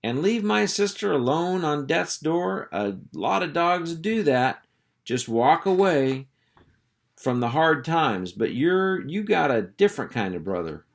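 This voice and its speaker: A man's voice: a little high, sing-song, unhurried, gravelly, voice pushed up, heavily acted. A man voicing a boastful, oblivious ranch dog in a storybook, with a folksy, self-important, blustering manner. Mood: earnest, blustering.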